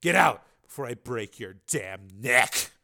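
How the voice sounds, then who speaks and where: A man voicing an embittered, volatile male cyborg in an audiobook, with an intense, forceful delivery. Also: mid-range voice, wildly swooping pitch, a little slow, vocal fry, breathy edge, exaggerated voice. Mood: furious, menacing.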